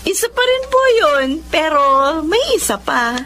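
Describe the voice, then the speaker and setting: A woman's voice: extremely high pitch, very animated, measured pace, noisy background, full character voice. A woman with a Filipino accent playing a devoted, caring young mother in a radio drama. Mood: happy, excited. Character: devoted young mother, resigned yet caring estranged wife. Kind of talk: Tagalog radio drama acting. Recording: music behind the voice.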